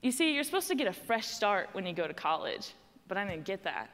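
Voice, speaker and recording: A woman's voice: mid-range voice, sing-song, fairly quick, a bit bright, long reverb tail. A young woman with a Texan accent giving a prepared personal speech on stage, telling her story in a conversational, emphatic way. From Texas. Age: young adult. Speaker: student panel speaker. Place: stage in a large hall.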